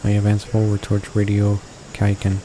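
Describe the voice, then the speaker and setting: A man reads a visual novel aloud in a low, very flat voice. He narrates haltingly, tripping on words and correcting himself as a game host. A steady noise sits clearly behind him in a homemade recording. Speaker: video game walkthrough host reading aloud. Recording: noisy home recording with constant background hiss.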